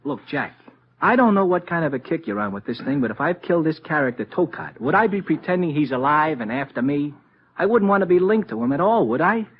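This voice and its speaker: Jittery, husky vaudeville man. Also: on the high side, a little fast. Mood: indignant protest. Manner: excitable, slangy, protesting. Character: jittery, folksy old-time vaudeville trick performer, male. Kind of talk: 1950s radio drama acting. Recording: muffled old radio recording.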